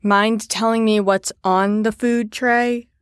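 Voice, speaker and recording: A woman's voice: medium pitch, fairly even intonation, takes it slowly, smooth, no room sound, lightly acted. A woman in an audio drama playing a firm carer on a patient ward, with a dry, exasperated manner. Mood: firm, stern.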